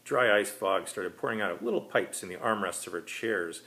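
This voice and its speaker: A man's voice: on the low side, normal speed, pushed and bright. A man reading his own short story aloud as scripted narration.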